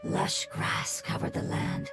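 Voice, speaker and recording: A woman's voice: slow, natural voice. A woman voicing a polite, ancient talking fungus creature in a video game, formal, earnest and wordy. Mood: wistful. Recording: loud game music behind.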